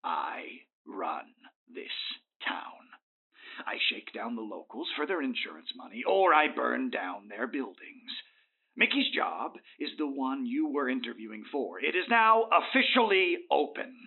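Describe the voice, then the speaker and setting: Crooked boss villain, gravelly, oily polite then threatening, thin narrow audio, radio drama. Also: male voice, medium pitch, sing-song, a little slow, exaggerated voice. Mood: menacing. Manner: theatrical, smug, swinging from oily courtesy to threats. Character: scheming, menacing crime boss posing as insurance businessman. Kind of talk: comic radio-play acting. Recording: muffled, narrow phone-like sound.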